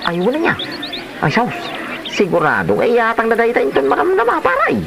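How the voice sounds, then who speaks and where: A man's voice: very high voice, wildly swooping pitch, brisk, vocal fry, background noise, full character voice. A man with an Ilocano accent playing a mischievous, greedy village husband in a comedy drama, full of exaggerated exclamations. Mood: playful. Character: mischievous, greedy village husband who raids grave offerings.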